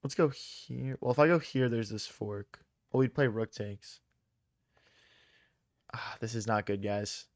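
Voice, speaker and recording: A man's voice: medium pitch, measured pace, long pauses, no room sound, studio quality. A young man from Philadelphia, streaming chess and thinking aloud in casual slang as he answers chat. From Philadelphia, USA. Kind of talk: casual live-stream commentary.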